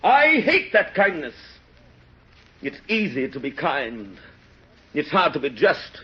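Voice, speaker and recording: An actor playing a rigid, self-punishing police inspector in a 1930s radio drama, formal and insistent. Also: male voice, a little high, huge pitch swings, slow, slightly rough, heavily acted. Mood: anguished, insistent. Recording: dull old 1930s radio recording.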